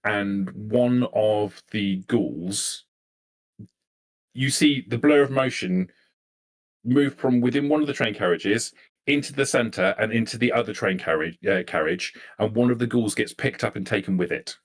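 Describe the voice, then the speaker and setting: Low-voiced man running a tabletop game. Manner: halting, repeats phrases as he thinks. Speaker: game master. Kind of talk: improvised tabletop game narration.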